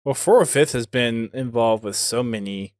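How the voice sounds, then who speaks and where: A man's voice: medium pitch, normal speed, a little mellow, no room sound. Man chatting casually, dry close mic. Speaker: podcast host. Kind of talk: casual podcast conversation.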